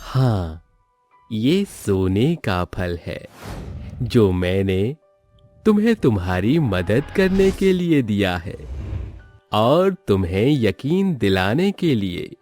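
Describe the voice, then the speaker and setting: Man voicing a very slow, solemn magical tree. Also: lowish voice, sing-song, a little mellow, soft background music. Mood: solemn, helpful. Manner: slow, drawn-out, deliberate delivery. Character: kindly magical golden talking tree, male, slow and solemn.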